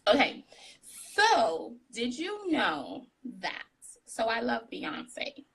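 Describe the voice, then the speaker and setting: Woman w a tiny husky voice, bright and gravelly, chatty guest on a live stream. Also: a little high, very slow, poor audio. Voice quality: describes her own voice as tiny. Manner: candid, animated, laughs often. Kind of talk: casual interview chat. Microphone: phone on a live video call.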